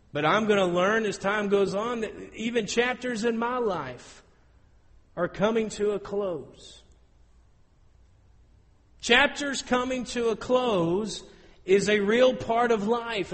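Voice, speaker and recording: A man in his thirties preaching a sermon in an auditorium, telling stories with lively, rising emphasis. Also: sits high, big-room sound. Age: 30s. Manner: storytelling, animated with rising emphasis.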